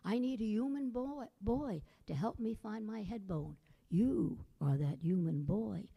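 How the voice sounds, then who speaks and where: A woman voicing a headless male skeleton ghost with a low, slow, drawn-out spooky voice, using puns and speaking solemnly. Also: animated, gravelly, warm tone, slightly rough, heavily acted.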